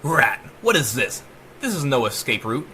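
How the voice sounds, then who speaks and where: AI villainess, acted out by a male reader: cruel, mocking, theatrical, bright and lively, horror story reading. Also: medium pitch, normal speed, a little hiss or hum. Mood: taunting. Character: sadistic, taunting female AI, self-styled goddess. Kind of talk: dramatic horror audiobook reading.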